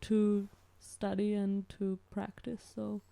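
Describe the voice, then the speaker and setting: A woman's voice: medium pitch, monotone, slow, vocal fry, mellow, no room sound. A woman in her twenties from Switzerland, a native Italian speaker, chatting as a podcast guest, hesitant with many fillers and restarts. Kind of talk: casual interview chat.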